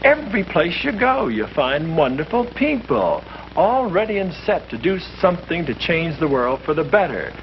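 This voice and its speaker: A man's voice: fairly high pitch, moderate pace, highs cut off, slight background noise. Earnest preacherly man on an old film soundtrack. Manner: earnest, preacherly, lively emphasis. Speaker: priest leading a discussion. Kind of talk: earnest scripted speech in a 1951 short film.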